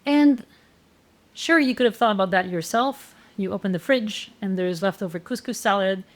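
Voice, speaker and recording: A woman's voice: medium pitch, moderate pace. A woman walking through meal plans as an instructor, in a casual, conversational manner with frequent fillers and restarts. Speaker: plant-based meal planning instructor. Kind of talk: casual explanatory walkthrough.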